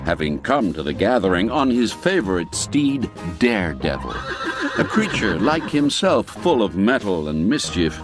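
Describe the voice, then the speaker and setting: A man's voice: very animated, music in the background. A man narrating a radio drama in a theatrical manner, savouring old-fashioned phrases. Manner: slow and deliberate, with wide swoops in pitch. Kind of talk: dramatic audio-drama storytelling.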